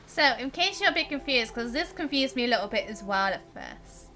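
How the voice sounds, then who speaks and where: A woman's voice: a little high, expressive, brisk, pushed and bright. A woman game streamer giving casual live-stream commentary in a chatty, giggly way. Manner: chatty, giggly, mimics the characters.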